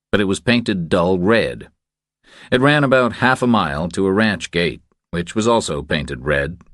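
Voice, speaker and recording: A man's voice: sits low, no room sound, studio quality. A man reading a novel aloud as a scripted third-person audiobook narration.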